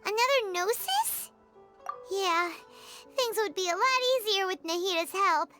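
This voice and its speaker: A woman voicing a small, excitable, childlike fairy companion in a video game, curious and given to thinking aloud with exclamations. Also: measured pace. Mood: thoughtful. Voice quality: squeaky, childlike. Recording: background music behind voice.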